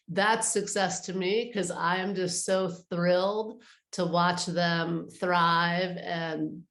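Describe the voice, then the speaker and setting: A woman's voice: medium pitch, roomy sound. A woman speaking conversationally as an advisor being interviewed, explaining her work and giving practical advice. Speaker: interview guest, art advisor.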